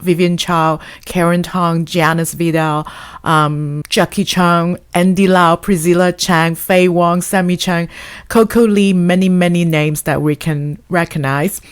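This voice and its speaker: A woman's voice: fairly low pitch, fairly even intonation, measured pace, smooth. Woman hosting a podcast interview. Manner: reads a long guest introduction, with fillers. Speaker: podcast host. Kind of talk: casual interview.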